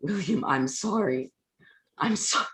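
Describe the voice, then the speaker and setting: A woman playing an anguished, stubborn young scientist in a staged gothic reading, intense and halting. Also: fairly low pitch, animated, fairly bright tone, breathy edge, heavily acted. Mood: grieving. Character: Victor, anguished, grief-stricken young scientist, stubborn and wild.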